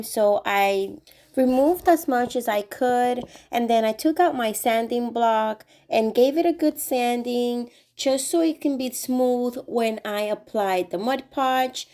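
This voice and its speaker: A woman's voice: on the high side, unhurried, darkish tone. A woman hosting a craft channel, cheerfully talking through each step in a voice-over while getting over a cold. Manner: cheerful, chatty step-by-step explaining. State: recovering from a cold.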